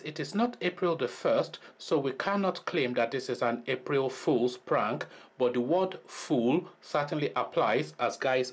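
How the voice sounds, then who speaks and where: Mocking male commentary host. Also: medium pitch. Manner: mocking, reads headlines aloud. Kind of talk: sarcastic commentary.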